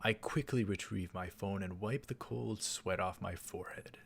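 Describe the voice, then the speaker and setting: A man's voice: low voice, moderate pace, gravelly, slight background noise. A man reading a visual novel aloud as a let's player, dramatizing the narration. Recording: music behind the voice. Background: game music.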